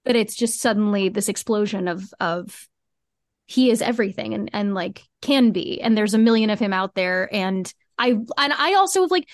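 A woman's voice: mid-range voice, animated, normal speed, no echo, clean studio sound. A woman speaking as a podcast guest in casual, earnest, emphatic interview chat. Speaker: podcast guest, fiction podcast co-creator.

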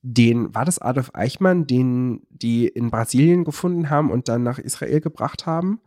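A man's voice: mid-range voice, normal speed, a little mellow, no echo, clean studio sound. Man chatting casually on a podcast. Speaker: podcast co-host.

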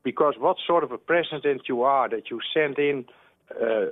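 A man calling a radio show, arguing a political point emphatically and quickly, stumbling over restarts. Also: medium pitch, dry recording. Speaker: radio caller. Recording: tinny dry phone line.

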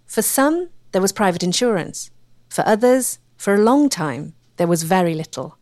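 Animated woman narrating a documentary. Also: medium pitch, moderate pace, dry recording, studio quality.

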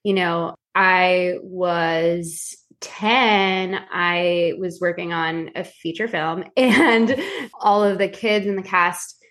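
Young female podcast guest, lower voice, chatty storyteller. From Filipino heritage. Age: 20s. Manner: animated storyteller, many "like" fillers, slows for explanations. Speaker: podcast guest, psychic reader. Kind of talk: casual interview chat.

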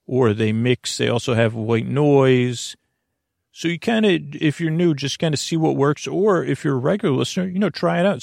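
A man with a heavily creaky, medium-pitched voice hosts a bedtime podcast. He rambles and digresses, starting phrases over and running words together. The sound is dry, with no room around the voice. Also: no echo. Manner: digressive, halting, repeats and smushes words. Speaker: podcast host.

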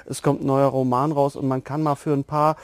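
A man interviewing on a podcast in a casual chat, often halting and repeating words as he forms his thoughts. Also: medium pitch, flat delivery, clean tone, no room sound.

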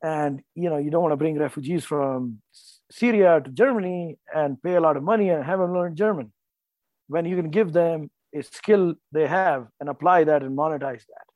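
A man's voice: on the high side, normal speed, a little mellow, no room sound, poor audio. A man in his forties from North India with an Indian accent, a guest telling his story in a rambling, self-correcting way. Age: 40s. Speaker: guest, farmer and entrepreneur. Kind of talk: casual interview talk.

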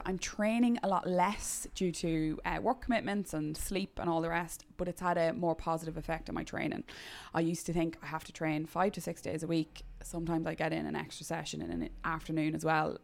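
A woman's voice: low-pitched, steady tone, brisk, vocal fry, hardly any pauses. A woman guesting on a podcast, playful and teasing. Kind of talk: casual podcast chat.

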